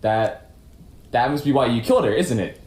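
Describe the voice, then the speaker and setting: A man performs an emotional, defensive suspect in puppet show melodrama. His pitch swings widely and his delivery is halting, in a roomy, echoing space. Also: animated, a little mellow, natural voice. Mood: bitter, accusing. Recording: roomy echo with faint background noise.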